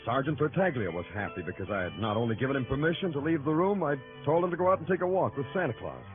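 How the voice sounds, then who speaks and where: An actor playing a hard-boiled police lieutenant who narrates an old radio drama, dry and sardonic. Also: male voice, mid-range voice, lively intonation, fast, close to an everyday voice. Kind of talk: 1940s radio acting. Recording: tinny old radio with music.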